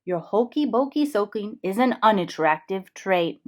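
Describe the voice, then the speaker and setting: A woman's voice: mid-range voice, lively intonation, normal speed, a little mellow, close to an everyday voice. Woman as a blunt storybook octopus. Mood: blunt, disapproving. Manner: blunt, matter-of-fact. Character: blunt eight-armed octopus telling a fish off.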